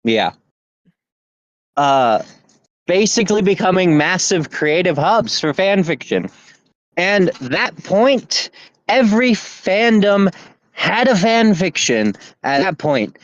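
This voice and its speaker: A man's voice: high voice, big pitch swings, slow, long pauses, dry recording, lo-fi sound. A man co-hosting a casual podcast chat, talking in a laid-back, rambling way and laughing often. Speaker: podcast co-host.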